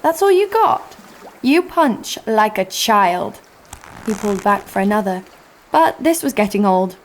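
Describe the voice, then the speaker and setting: A woman's voice: medium pitch, big pitch swings, measured pace, slight background noise. Brash, sarcastic female adventurer. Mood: mocking, cocky. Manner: sardonic, cocky. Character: brash, sarcastic, foul-mouthed female adventurer narrating her exploits. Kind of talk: first-person audio drama narration and dialogue.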